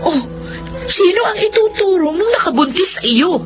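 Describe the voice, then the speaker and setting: An actress playing a blunt, protective, exasperated young friend in a radio drama, questioning and scolding. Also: female voice, very high voice, lively intonation, normal speed. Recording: dull sound, faint music.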